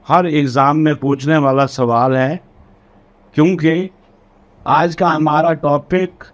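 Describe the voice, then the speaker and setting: Slow-paced man lecturing, Pakistani accent. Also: a little high. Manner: deliberate, repeats and rephrases key phrases. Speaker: law lecturer. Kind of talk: explanatory exam lecture, code-switching between Urdu and English legal terms.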